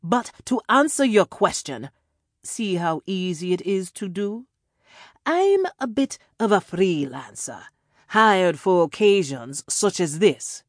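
A woman's voice: medium pitch, sing-song, takes it slowly, no echo, clean studio sound, voice pushed up. A woman voicing a smug, sarcastic male villain interrogator in an audiobook, mock-polite and menacing, with theatrical, taunting delivery. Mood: sarcastic, irritated.

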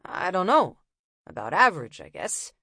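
A woman voicing an impatient, out-of-breath male police officer who is gruff and exasperated. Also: on the low side, sing-song, moderate pace, sharp tone, no room sound, studio quality, heavily acted.

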